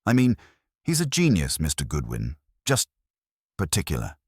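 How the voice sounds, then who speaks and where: A man voicing a nervous, helpful female bakery barista with a crisp, guarded manner in a detective story. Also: rumbling low, huge pitch swings, moderate pace, dry recording, studio quality, exaggerated voice. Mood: nervous, defensive.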